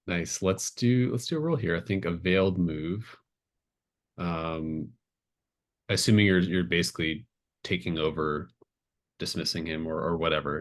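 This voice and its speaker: Deep-voiced man running a tabletop game. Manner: hesitant, thinking aloud, encouraging. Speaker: game master. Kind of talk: casual tabletop game narration.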